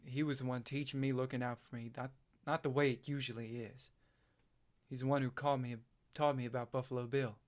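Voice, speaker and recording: A man's voice: mid-range voice, flat delivery, lightly acted. A man acting a hesitant farm boy. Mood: wistful. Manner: halting, hesitant, plain-spoken. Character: haunted, self-doubting rural farm boy confiding in his friend. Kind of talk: stage play acting.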